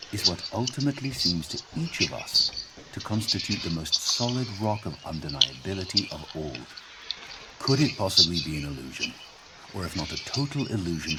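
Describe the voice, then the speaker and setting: A man's voice: low voice, very noisy. A man narrating an audio log, reading a scripted essay in a reflective, emphatic way.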